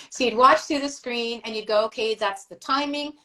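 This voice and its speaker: A woman's voice: a little high, moderate pace, bright, forceful tone, rough recording. A middle-aged woman, a voice actress, chatting as a guest on a live video call, rambling through memories with fillers and laughs. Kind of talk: casual livestream chat.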